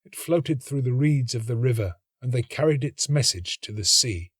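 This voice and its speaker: Animated male storybook narrator, dry close sound. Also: mid-range voice, slightly rough, no echo. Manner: measured, dramatic, expressive. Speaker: story reader, actor.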